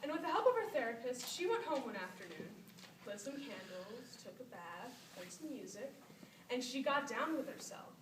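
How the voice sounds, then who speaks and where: A woman's voice: a little high, very animated, normal speed. A woman performing scripted narration live on stage as part of a theatre piece. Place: stage in a hall. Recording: noisy, echoey, distant live theatre recording.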